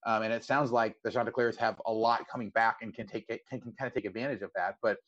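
A man's voice: on the low side, fairly even intonation, fast, fairly bright tone, muffled recording. A man hosting a casual sports interview podcast, stammering his way into long, wordy questions.

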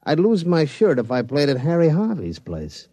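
A man's voice: a little high, huge pitch swings, moderate pace, warm tone, dry recording, exaggerated voice. An actor playing a chatty, streetwise henchman of a gambling boss, rambling in slangy bad grammar in an old-time radio comedy. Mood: chatty, impressed.

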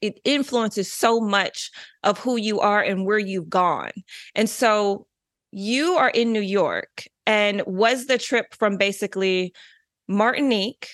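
A woman's voice: mid-range voice, animated, slow pace, a bit bright, no echo, clean studio sound. A woman hosting a podcast, effusive and enthusiastic as she interviews her guest. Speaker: podcast host. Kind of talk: casual interview.

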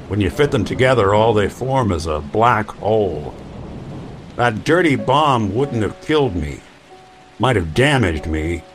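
Brooding hard-boiled robot gumshoe, low voice, world-weary and wry, radio-drama noir over music. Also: male voice. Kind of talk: old-time radio drama acting.

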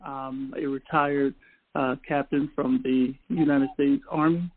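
A man in his forties, a retired army officer, speaking as a guest on a call-in radio show in a soft-spoken, halting way with many pauses. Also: mid-range voice, fairly even intonation. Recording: phone-like narrow audio.

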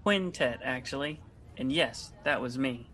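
A man playing an earnest, deadpan monster truck owner in a comedy sketch, speaking rather formally. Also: medium pitch, measured pace, slight background noise.

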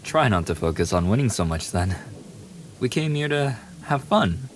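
A man's voice: lowish voice, normal speed. A man playing a famous young pop idol boyfriend in an ASMR audio roleplay, playful, affectionate and eager, teasing the listener in a casual, intimate way. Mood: gentle, teasing. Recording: steady hiss behind the voice.

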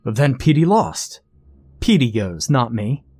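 A man's voice: medium pitch, big pitch swings, unhurried, a little mellow, husky, dry recording, soft background music, pitched above the performer's own voice. Man as clever male contestant, determined, assertive, argumentative, matter-of-fact, storybook hero, dry sound. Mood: emphatic, triumphant.

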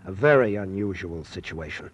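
A man's voice: deep voice, animated, moderate pace. An actor playing a bitter young male medical intern in a 1940s radio drama, terse and brooding. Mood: brooding, guarded. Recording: hissy vintage radio drama recording.

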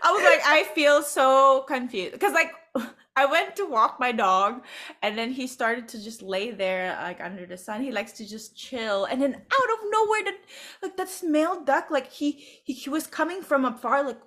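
A young woman from Macau co-hosting a casual podcast, her voice high and bright with sharp edges. She swings widely in pitch and laughs often as she tells stories. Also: moderate pace. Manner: animated storytelling, laughs a lot. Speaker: podcast co-host. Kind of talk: casual chat.

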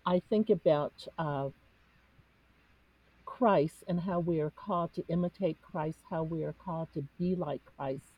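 Low husky woman, cantonese first language, earnest and searching, dull dry lo-fi sound. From United States. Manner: earnest, searching, pauses with fillers. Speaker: discussion panelist. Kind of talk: reflective spiritual discussion.

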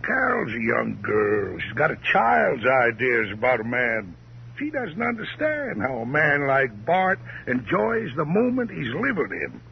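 Sly, cozy, drawling uncle from out West, creaky and very animated, old muffled radio drama. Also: male voice, mid-range voice, unhurried, a little hiss or hum, full character voice. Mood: wistful, smooth. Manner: drawling, cozy, repetitive, familiar, mock-sweet. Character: folksy sly uncle from out West, lounging in bed. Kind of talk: 1950s radio crime drama acting.

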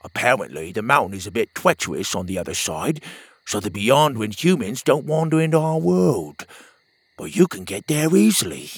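A man's voice: a little high, breathy edge, no room sound, pitched above the performer's own voice, heavily acted. A cheery, teasing young lad, as a man voices him for a storybook, turning every r into a w. His voice is raised and bounces around a lot. It is recorded close and dry. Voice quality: turns r into w. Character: cheerful young male friend with an r-to-w lisp. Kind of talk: storybook character voice.

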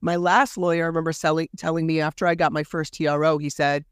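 A man hosting a podcast in casual chat, raspy and a bit under the weather, candid and animated, swearing freely. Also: a little high, fast, no echo, clean studio sound. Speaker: podcast host.

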